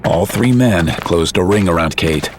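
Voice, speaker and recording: A man giving steady, measured scripted narration for an audio drama. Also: low-pitched, background noise. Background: music.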